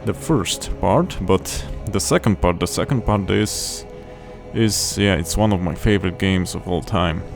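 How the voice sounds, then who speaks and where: Young man hosting a game playthrough, lowish voice, loose chatty delivery, game music underneath. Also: unhurried, creaky. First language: Polish. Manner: chatty, restarts phrases while explaining. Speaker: gaming channel host. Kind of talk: casual gameplay commentary.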